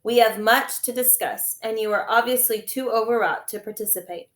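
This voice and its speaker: Storybook gentleman, fussy and reasonable, politely firm a woman reading the part, echoey room. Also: fairly high pitch, normal speed, natural voice. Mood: gently firm. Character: fussy, reasonable male family guardian, mildly stern.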